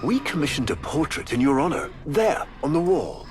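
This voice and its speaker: An actor playing a smooth, condescending high official in a video game, speaking in a formal, polite and leading manner. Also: male voice, big pitch swings, moderate pace, over music. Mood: polite condescension. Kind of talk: dramatic video game dialogue.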